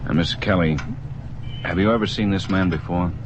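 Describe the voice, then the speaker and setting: An actor playing a courteous, patient police detective in an old-time radio drama, asking questions in a hesitant, matter-of-fact way. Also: male voice, sits low, moderate pace, close to an everyday voice. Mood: probing. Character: polite, patient, low-voiced male police detective. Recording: dull old radio under road noise. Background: music and vehicle driving noise.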